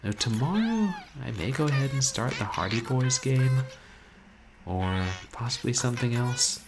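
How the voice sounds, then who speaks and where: A man in his thirties, a game streamer chatting casually at home in a wry, rambling, animated way. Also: mid-range voice, moderate pace, background noise. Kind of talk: casual livestream chat. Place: small room at home, indoors.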